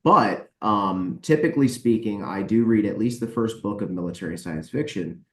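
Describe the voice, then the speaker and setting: Low-voiced man in a roomy, echoing space. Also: expressive, normal speed. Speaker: podcast guest, publisher and author. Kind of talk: casual, conversational interview answers.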